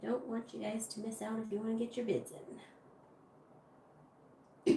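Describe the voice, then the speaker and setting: A woman's voice: a little high, steady tone, a little slow, dark tone. Chatty woman hosting a craft livestream. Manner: chatty, talks to viewers while working. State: slightly congested. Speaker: art channel host. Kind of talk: casual livestream chat while crafting. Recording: roomy with faint room noise.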